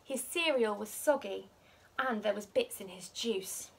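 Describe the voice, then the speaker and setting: A young woman reading a children's picture book aloud like a teacher, expressive and measured. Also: mid-range voice, gravelly, slightly rough, low-quality recording. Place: living room by a fireplace.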